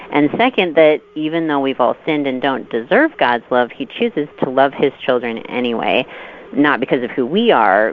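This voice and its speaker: A woman author answering interview questions in a measured, earnest, articulate way. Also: a bass voice. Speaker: novelist guest. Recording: phone-like line with clear noise.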